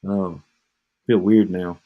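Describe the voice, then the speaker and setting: A man's voice: deep voice, fairly even intonation, slow, phone-quality sound. A man co-hosting a podcast, rambling and joking in casual chat, drifting off mid-thought, with an echo on his home mic. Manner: joking, meandering, trails off. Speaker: podcast co-host.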